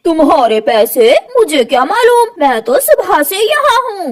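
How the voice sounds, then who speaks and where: A woman's voice: very high-pitched, very animated, a little slow, exaggerated voice. A woman voicing a henpecked male crow husband in a children's cartoon, protesting and defensive.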